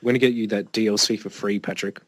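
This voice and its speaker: A man's voice: on the low side, steady tone, fast. A teenage man co-hosting a casual podcast, laid-back and dropping dry one-liners. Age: teens. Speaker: podcast co-host. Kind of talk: casual chat. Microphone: Skype call recording.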